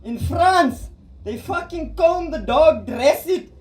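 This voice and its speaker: A man's voice: very high-pitched, expressive, slow, music in the background. A South African man doing stand-up, teasing the crowd with punchy jokes. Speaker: stand-up comedian. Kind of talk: stand-up comedy set. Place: comedy club stage with live audience. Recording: echoey club, loud crowd laughter. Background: crowd laughing.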